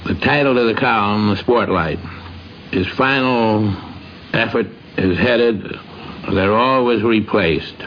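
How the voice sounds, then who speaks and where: Lowish-voiced man reminiscing on old radio. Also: slow. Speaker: guest giving a tribute. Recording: dull, faintly noisy 1950s radio recording.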